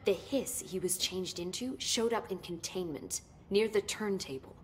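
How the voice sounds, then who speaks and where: A woman's voice: mid-range voice, moderate pace, a little hiss or hum, lightly acted. An actress playing an eager, analytical researcher in a video game, precise, wordy and easily absorbed in her subject. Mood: absorbed, curious. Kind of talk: cinematic game dialogue.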